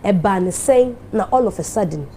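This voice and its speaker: A woman's voice: medium pitch, lively intonation, fairly quick, dark tone, a little hiss or hum. A Ghanaian woman hosting a TV show, giving an emphatic, heartfelt speech that mixes English and Twi.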